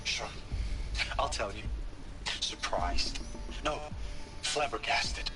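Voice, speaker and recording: A man's voice: mid-range voice, expressive, takes it slowly, over loud music. An actor playing an angry, sarcastic man in a video game, incredulous and stammering with scorn. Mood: scornful, incredulous. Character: angry, sarcastic man refusing to cooperate, demanding to leave.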